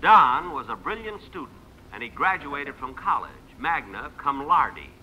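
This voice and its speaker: A man's voice: a little high, big pitch swings, unhurried, muffled recording. A man giving scripted comic narration on a 1950s radio show. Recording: very noisy 1950s radio recording.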